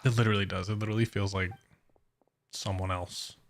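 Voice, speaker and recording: A man's voice: on the low side, steady tone, a little fast. A man streaming a game and giving casual, informal reactions, musing aloud as he goes.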